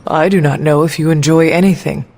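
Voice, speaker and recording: An actress playing a reserved, earnest heroine in a video game, speaking in a measured, formal way with dry humour. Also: female voice, deep voice, fairly even intonation, darkish tone. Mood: calm, earnest. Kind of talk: video game cutscene acting.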